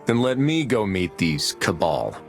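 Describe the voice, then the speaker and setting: An actor playing a steady, plainspoken male hero in a video game, calm and direct. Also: low voice, unhurried, creaky, faint music behind, exaggerated voice. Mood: resolute. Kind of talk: video game cutscene acting.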